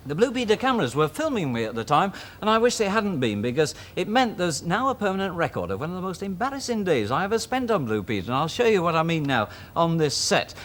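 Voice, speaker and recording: A Yorkshire man presenting a 1970s children's programme, his fairly high voice swooping up and down. He chats and narrates in a self-deprecating, friendly way. The sound is an old television archive recording. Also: sing-song, quick pace, hardly any pauses, fairly bright tone, slight background noise. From Halifax, Yorkshire. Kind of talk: casual chat and narration.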